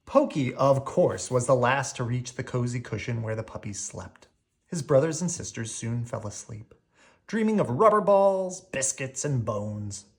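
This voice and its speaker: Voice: medium pitch, lively intonation, a little slow. A storyteller reading a children's picture book aloud expressively, drawing out key words.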